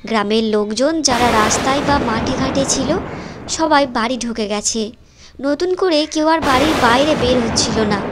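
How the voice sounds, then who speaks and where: A woman's voice: on the high side, very noisy. A woman narrating a scripted cartoon story as the storyteller. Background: music.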